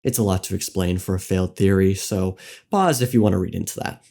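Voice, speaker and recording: A man narrating a scripted game lore video essay in a wry, theatrical manner with dramatic pauses. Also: low-pitched, dark tone. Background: music.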